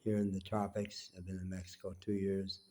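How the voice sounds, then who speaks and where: Older man, 70s, stuffy with a cold; lowish, mellow voice; slow, meandering home-vlog delivery. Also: monotone, a little hiss or hum. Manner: unhurried, rambling, with frequent pauses and fillers. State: congested with a cold. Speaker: vlogger. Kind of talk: reflective personal monologue. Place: small home indoors, quiet.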